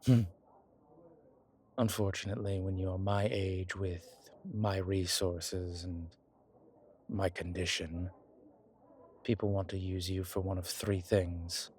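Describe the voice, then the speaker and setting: A man playing an old, vain, genteel vampire in an intimate audio drama, speaking formally and theatrically with hesitant pauses. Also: deep voice, flat delivery, a little mellow, slight background noise. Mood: wry, vain.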